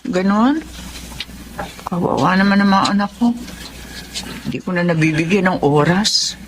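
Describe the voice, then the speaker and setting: An actress playing a devoted, plain-spoken housemaid and nanny in a drama, respectful and folksy, with a Filipino accent. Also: female voice, on the low side, normal speed, noisy background, close to an everyday voice. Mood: apologetic. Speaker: lead actress and narrator. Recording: music behind the voice.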